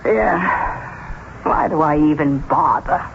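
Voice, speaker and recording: A woman's voice: deep voice, expressive, slow pace, heavily acted. An actress playing a nosy, matchmaking landlady, blunt and dry with folksy, ungrammatical speech, in old-time radio drama style. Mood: dry, impatient. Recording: muffled, lightly noisy radio drama recording.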